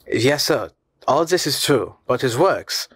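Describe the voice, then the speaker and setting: A man voicing an excitable, cunning male scholar in an audiobook, with a formal, abrupt, guarded way of talking. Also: medium pitch, slow pace, husky, dry recording, clean studio sound, pitched above the performer's own voice, full character voice. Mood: probing, guarded.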